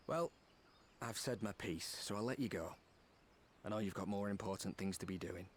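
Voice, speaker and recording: An actor playing an earnest, loyal friend in a fantasy video game, plain-spoken and encouraging. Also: male voice, fairly low pitch, moderate pace, slight background noise, lightly acted. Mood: reassuring, warm. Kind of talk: dramatic video game voice acting. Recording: music behind the voice.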